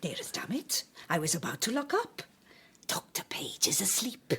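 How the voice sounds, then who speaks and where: An actress playing a spiteful, suspicious middle-aged woman in a radio drama, sharp, accusatory and breathy. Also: lowish voice, huge pitch swings, normal speed, whispered, exaggerated voice. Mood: scolding. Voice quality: husky, breathy, hissing. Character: spiteful, suspicious, scolding housekeeping sister, a woman.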